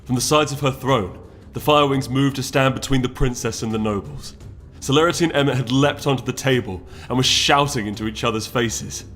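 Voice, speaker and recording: Male narrator for dramatic fiction, solemn and deliberate, medium-pitched, with music running underneath. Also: fairly bright tone. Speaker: story reader. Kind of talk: dramatic scripted storybook narration.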